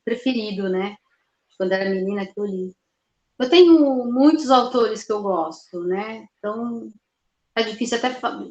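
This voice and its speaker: An older woman speaks in a fairly high voice, weighing her words and often stopping to restart them. She talks as an author being interviewed. The room around her leaves a clear echo. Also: muffled recording. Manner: thoughtful, halting, restarts words, tags "né". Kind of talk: relaxed interview answers. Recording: roomy echo.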